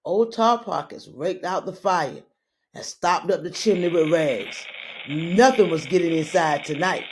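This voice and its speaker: A woman reading a children's story aloud in a dramatic, deliberate way, drawing out her phrases. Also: fairly low pitch, a little hiss or hum. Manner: deliberate, expressive, drawn-out phrasing.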